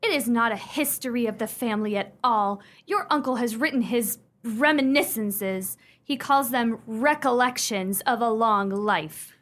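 A woman's voice: a little high, a little slow, hardly any pauses, a bit bright. A woman playing a prim, imperious young upper-class fiancée in a radio play, speaking sternly with precise, formal diction. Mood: scandalized.

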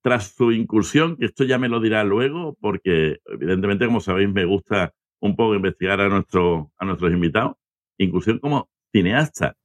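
A man's voice: fairly low pitch, brisk, dry recording. A man hosting an interview podcast in a conversational way, introducing and questioning a guest.

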